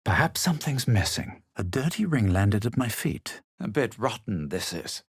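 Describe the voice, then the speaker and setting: A haughty young adventurer from a fantasy video game. His voice is on the low side with a slightly husky grain, measured and dryly superior, recorded very dry and close. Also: very animated, no room sound, full character voice. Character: haughty young male fantasy adventurer, self-assured, brooding. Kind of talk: video game voice acting, dramatic narration and remarks.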